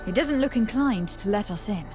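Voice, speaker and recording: A woman acts out a composed young envoy in a fantasy game. Her voice is medium in pitch, formal and courteous. Music sits clearly behind her lines. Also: animated, moderate pace, lightly acted. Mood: thoughtful. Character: polite, composed young noblewoman envoy on a quest. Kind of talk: video game character acting. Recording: music under the dialogue.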